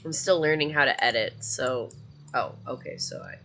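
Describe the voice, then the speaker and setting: A man's voice: medium pitch, normal speed, pushed and bright. A young man with a congested voice giving casual, rambling commentary to viewers while playing a game. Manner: chatty and rambling, talking to viewers. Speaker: gameplay streamer. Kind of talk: casual let's-play commentary.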